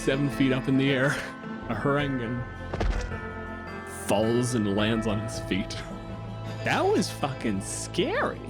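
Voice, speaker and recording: A man working as game master, improvising vivid, dramatic narration for a tabletop game with deliberate pauses. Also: medium pitch. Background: music.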